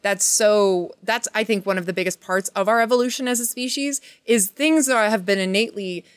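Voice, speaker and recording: A woman with a medium-pitched voice in a casual podcast conversation. She is earnest and wordy, circling and restarting as she searches for the right phrase. The recording is close, with no room sound. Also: fairly quick, dry recording. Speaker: podcast guest, performer.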